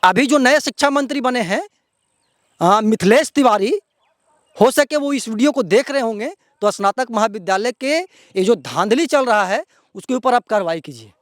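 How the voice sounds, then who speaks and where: A male local news presenter with a Bihari accent and a very high-pitched voice. He speaks straight to viewers in outspoken commentary, indignant and emphatic, hammering points through repetition. The sound is dry and close. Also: animated, normal speed, dry recording.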